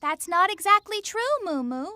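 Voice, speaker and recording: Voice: expressive, moderate pace, no room sound, exaggerated voice. A performer voicing an eager, friendly child character in a kids' cartoon, explaining things simply in a childlike voice. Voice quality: high, bright, childlike. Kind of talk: animated kids' cartoon dialogue.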